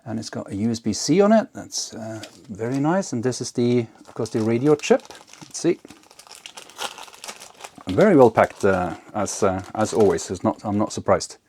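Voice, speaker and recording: A man's voice: lowish voice, sing-song, normal speed, darkish tone, slight background noise. A man giving a casual hands-on demonstration, thinking aloud hesitantly as he works. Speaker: host of an electronics and ham radio build video.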